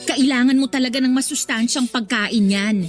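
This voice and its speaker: A woman's voice: sits high, moderate pace, faint music behind, lightly acted. An actress in a radio drama playing a supportive female best friend who reassures and encourages. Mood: indignant. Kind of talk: Tagalog radio drama acting.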